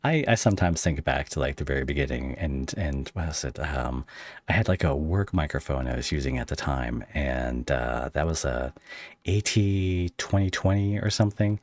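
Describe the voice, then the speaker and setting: A man with a very low, deep voice hosting a podcast in relaxed conversation. He jokes easily and laughs often. The sound is dry and close. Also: moderate pace, dry recording. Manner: easygoing, joking, laughs often. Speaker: podcast host. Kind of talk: casual podcast chat.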